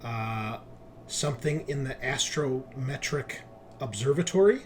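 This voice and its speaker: A man running a tabletop roleplaying game, narrating casually in a halting, searching, informal way. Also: medium pitch, animated. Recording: clearly noisy recording with room ambience.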